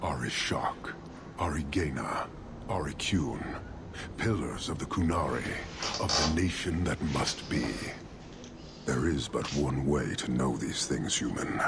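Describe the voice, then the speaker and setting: An actor playing a stern, disdainful warrior leader of an inhuman fantasy race in a video game, speaking in a measured, solemn way. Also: male voice, extremely low pitch, expressive, noisy background, full character voice. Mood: stern, dismissive. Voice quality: gravelly. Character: stern imposing male Qunari warrior leader, disdainful and rigid. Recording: game audio with loud background ambience.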